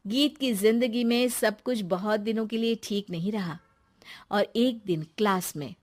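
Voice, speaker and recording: A woman's voice: mid-range voice, unhurried. A woman narrator reading a scripted moral story.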